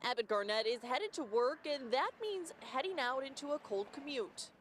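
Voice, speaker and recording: A female television reporter with a higher-than-average voice. She delivers scripted news live in broadcast style. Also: expressive, normal speed, a little hiss or hum.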